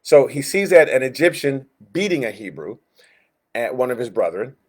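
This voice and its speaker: A man teaching Sunday school in a conversational, halting way, reading verses and explaining them, often backing up to rephrase. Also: medium pitch, very animated, husky. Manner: conversational, halting, backtracks and rephrases, acts out inner thoughts. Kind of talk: informal Bible lesson, reading verses and explaining.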